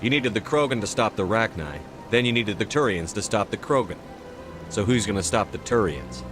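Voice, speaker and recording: A man's voice: lowish voice, lively intonation, normal speed, over music, lightly acted. A man playing a blunt, inquisitive human soldier hero in a sci-fi video game, with direct, plain-spoken delivery.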